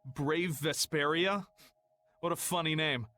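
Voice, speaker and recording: An actor playing a mocking, bullying guild thug in an anime video game dub. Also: male voice, fairly high pitch, slow pace, pushed and bright. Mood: mocking, contemptuous. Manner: mocking, goading. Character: sneering, bullying male guild thug taunting a girl. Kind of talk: English-dubbed anime video game acting.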